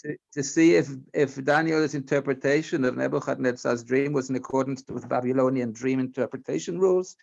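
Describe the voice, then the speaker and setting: Gravelly man on a muffled call. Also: medium pitch, fairly quick, hardly any pauses, a little mellow. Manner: brief, agreeable replies. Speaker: class participant.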